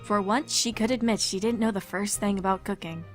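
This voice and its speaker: A woman's voice: mid-range voice, steady tone, a little fast, soft background music. A woman reading scripted story narration as the narrator of a fan fiction. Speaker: fanfic narrator.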